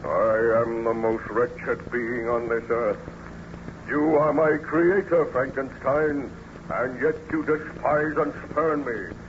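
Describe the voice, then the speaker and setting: Monster played by male actor: wretched, menacing, slow and declamatory; vintage radio horror, noisy, dull recording. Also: medium pitch, natural voice. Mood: bitter. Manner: slow, grave, declamatory, formal diction. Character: wretched hideous man-made monster, bitter, menacing, self-pitying. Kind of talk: old-time radio drama acting.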